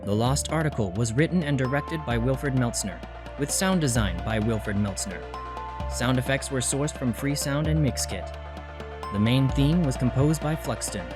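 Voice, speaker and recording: A man who writes and directs an audio drama, reading its closing credits in a plain, steady way. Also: medium pitch, moderate pace. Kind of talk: scripted credits narration. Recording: loud music under the voice.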